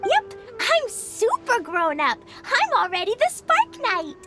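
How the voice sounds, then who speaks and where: A woman voicing a cheerful, playful little girl in a video game, bubbly and giggly, over loud game music. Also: very high voice, huge pitch swings, slow, heavily acted. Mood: proud.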